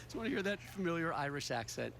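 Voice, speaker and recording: A man's voice: fairly high pitch, very animated, quick pace, vocal fry, very noisy. Upbeat man calling bowling on TV. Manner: upbeat, joking, storytelling. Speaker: play-by-play commentator. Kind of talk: televised bowling commentary.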